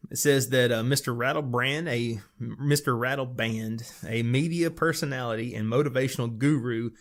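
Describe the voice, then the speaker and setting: A man's voice: medium pitch, moderate pace. Casting: middle-aged male podcast co-host, amused, reads quirky news headlines with chuckles. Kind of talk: casual chat, reading news stories aloud.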